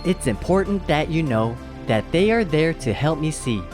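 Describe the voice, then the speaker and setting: Expressive storybook reader over music. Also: mid-range voice. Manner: expressive, measured rhyming delivery. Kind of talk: scripted children's read-aloud narration. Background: background music.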